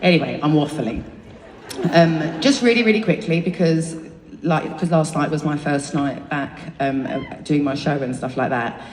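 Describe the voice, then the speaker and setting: A woman's voice: sits low, normal speed, a little mellow. A woman with a London accent chatting to her concert audience between songs, rambling, swearing freely and laughing at herself. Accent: London English. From England. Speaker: singer on stage. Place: large concert venue. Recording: live concert audio, echoey arena from far off with crowd.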